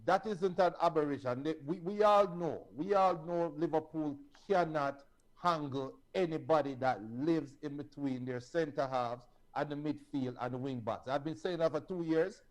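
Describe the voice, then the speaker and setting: A man's voice: a little high, moderate pace, rough recording. A man with a Jamaican accent co-hosting a sports talk show, joking and confidently opinionated. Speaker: co-host.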